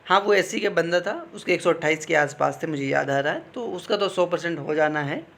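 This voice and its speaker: A man with a slightly high voice talks like a coaching teacher. He explains things informally and instructively, pausing to check in with his listeners. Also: expressive, gravelly, fairly bright tone. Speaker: exam coaching teacher advising candidates. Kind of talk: informal explanatory talk on exam cut-offs.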